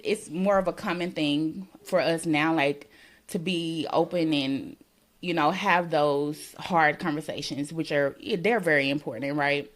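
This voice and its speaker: A woman from Mississippi, a working mother, talking through her experiences in a casual podcast conversation, thinking aloud and leaning on "you know" and "um" as she goes. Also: low-pitched.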